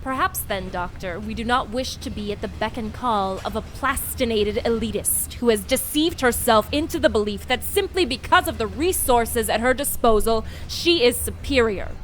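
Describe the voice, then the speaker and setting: A woman playing a proud, formal dean of a science society in a comedic audio drama, speaking in a measured, earnest way. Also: a little high, animated, fairly bright tone, a little hiss or hum. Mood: pleading, indignant.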